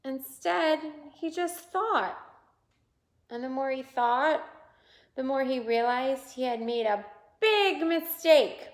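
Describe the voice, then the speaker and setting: High-pitched, very expressive woman reading a storybook. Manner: expressive, pausing to point out pictures to young listeners. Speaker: picture book reader for children. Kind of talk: children's read-aloud storytelling. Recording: roomy, echoing indoor sound.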